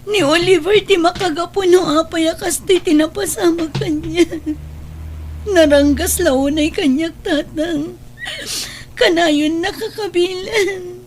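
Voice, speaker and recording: High-voiced tearful, remorseful mother. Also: measured pace, darkish tone, a little hiss or hum. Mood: tearful, distraught. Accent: Filipino, Ilocano. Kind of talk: melodramatic radio drama acting. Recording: music bed under radio drama dialogue.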